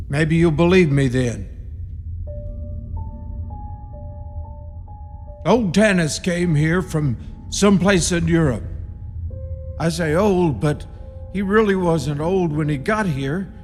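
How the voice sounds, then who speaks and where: A man's voice: on the high side, takes it slowly, long pauses. A man playing an ominous rural caretaker in a radio horror play, giving grim, cryptic warnings in folksy country grammar. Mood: cryptic, grave. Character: ominous rustic caretaker, grimly warning intruders. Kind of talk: radio horror drama acting. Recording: long reverb with music behind.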